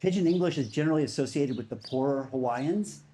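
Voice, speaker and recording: A man's voice: medium pitch, animated, fast, dark tone, a little hiss or hum. A man giving a conference talk in a casual, enthusiastic way, with many fillers. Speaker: speaker.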